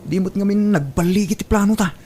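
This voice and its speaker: A woman's voice: medium pitch, fast talker, warm tone, slight background noise, close to an everyday voice. A woman acting an evasive, jealous lover in an audio drama, with a Filipino accent. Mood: frustrated. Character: Boni, evasive, jealous lover short of money. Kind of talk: Ilocano radio drama acting.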